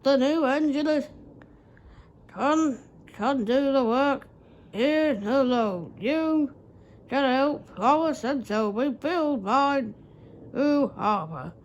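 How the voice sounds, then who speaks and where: A woman's voice: high voice, animated, extremely slow, long pauses, highs cut off, exaggerated voice. A woman voicing a bossy male railway controller in a children's storybook, with emphatic authority. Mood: friendly.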